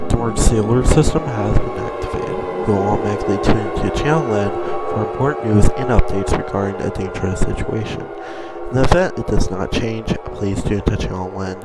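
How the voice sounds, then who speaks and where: Man as a low, impersonal emergency system announcer. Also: brisk, loud music behind the voice, close to an everyday voice, poor audio. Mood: impersonal, official. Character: official automated emergency alert system announcer. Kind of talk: scripted emergency broadcast announcement.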